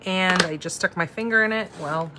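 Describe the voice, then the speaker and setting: A woman in her forties hosting a casual live craft demo, chatty and thinking out loud as she works. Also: fairly low pitch, normal speed, very noisy. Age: 40s. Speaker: crafting host and instructor.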